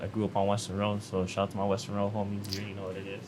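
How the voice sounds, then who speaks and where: A man with a lowish voice talks easily in street slang, tossing in "you know what I mean" as he goes. There's a faint hiss of noise behind him. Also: monotone, rapid-fire. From Toronto, Canada. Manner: laid-back street slang, frequent "you feel me" tags. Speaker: model and interview guest. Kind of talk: casual interview chat.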